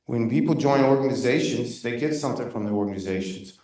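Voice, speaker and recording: A man giving a loud, insistent public comment at a neighborhood meeting, speaking without a microphone. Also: medium pitch, fast. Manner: loud, insistent, critical, with frequent restarts. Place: echoey community meeting room. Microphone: room mic at a distance, not speaking into a handheld. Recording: very echoey room, voice far from the mic.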